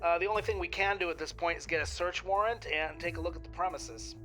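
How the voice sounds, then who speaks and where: A man playing a calm, polite federal wildlife agent speaking in a matter-of-fact, procedural way in an audio drama. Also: fairly high pitch, fast talker, faint music behind. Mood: calm, official. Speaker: special agent. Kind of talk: official phone conversation in audio drama.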